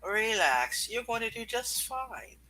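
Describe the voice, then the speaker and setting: High, animated man, bright voice. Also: a little slow, low-quality recording. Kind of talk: casual banter and amateur comic reading. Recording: faint background noise.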